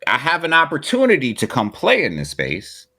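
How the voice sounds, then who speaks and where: A man co-hosting a podcast, laying out his argument in a measured, deliberate way. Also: a little high, wildly swooping pitch, bright, forceful tone, no echo, clean studio sound. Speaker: podcast co-host. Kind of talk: casual podcast discussion.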